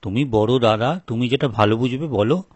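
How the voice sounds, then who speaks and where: A man's voice: medium pitch, normal speed, a little mellow, no echo, lightly acted. A man playing a humble, deferential young younger brother in a folk tale, speaking earnestly. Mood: submissive. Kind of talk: acted dialogue for a story video.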